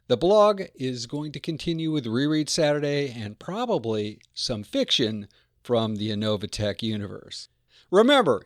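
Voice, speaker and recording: A man's voice: mid-range voice, dry recording, clean studio sound. A man hosting a podcast, giving an introduction and credits in an emphatic, phrase-by-phrase delivery. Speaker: podcast host.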